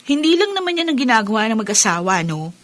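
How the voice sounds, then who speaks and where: A young Filipino wife and mother in a radio drama. Her voice is very high and bright, and she is fussy, coaxing and playfully teasing. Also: sing-song, quick pace.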